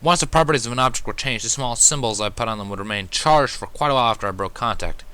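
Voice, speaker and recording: A man's voice: medium pitch, fast, sharp tone, smooth. A man narrating a first-person fan fiction story, reading expressively as an amateur.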